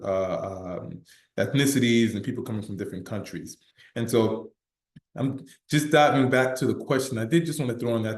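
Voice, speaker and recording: A man's voice: fairly low pitch, darkish tone, roomy sound. A man speaking as a panelist in an online discussion, talking hesitantly with many fillers. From United States. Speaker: panelist, business chamber leader.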